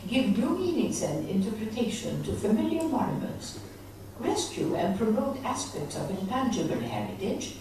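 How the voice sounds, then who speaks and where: A middle-aged woman at a lectern reads a prepared conference paper in a measured way, with small slips and self-corrections. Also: medium pitch, distant mic, noisy background. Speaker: conference speaker reading a presentation. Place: large conference hall, at a lectern. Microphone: lectern microphone. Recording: echoey hall, voice from off the mic.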